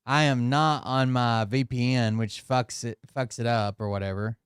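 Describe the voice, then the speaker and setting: A man's voice: medium pitch, steady tone, normal speed, clear, resonant tone, no echo, studio quality. A man giving casual, blunt and profane commentary during a live stream.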